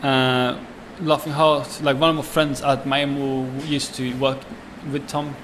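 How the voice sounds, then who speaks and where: Man, twenties, Swedish accent, halting, fillers, mid pitch, noisy background. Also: flat delivery, a bit bright. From Sweden. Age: 20s. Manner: halting, many fillers and restarts. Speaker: chef and restaurant owner. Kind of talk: casual interview.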